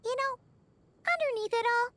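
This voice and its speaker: Extremely high, perky tiny fairy companion. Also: female voice, moderate pace, noisy background. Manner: perky, childlike. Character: tiny chirpy floating fairy-like girl companion. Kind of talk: video game voice acting.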